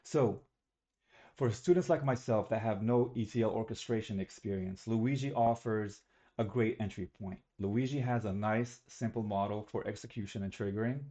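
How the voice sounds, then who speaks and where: A man's voice: fairly low pitch, normal speed, mellow. Young man giving a casual class talk. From Algeria. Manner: casual, self-correcting, slightly rambling. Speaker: student presenter. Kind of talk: recorded class presentation.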